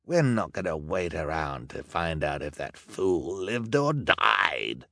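A man's voice: a bass voice, animated, moderate pace, vocal fry, bright, forceful tone, husky, dry recording, studio quality, heavily acted. A man voicing a villainous male ringleader in an audiobook, snarling, impatient and contemptuous as he gives orders. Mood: contemptuous, commanding. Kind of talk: audiobook character acting.

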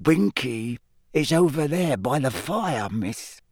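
A man voicing an earnest, devoted little male house-elf who speaks in a high, hushed voice. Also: very animated, slow pace, no room sound, studio quality, full character voice.

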